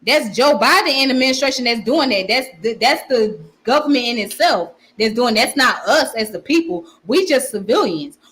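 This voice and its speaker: A woman's voice: a little high, lively intonation, moderate pace, few pauses, a bit bright. A woman with a strong Southern accent, hosting a casual livestream where she reads comments and often repeats her phrases. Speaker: channel host.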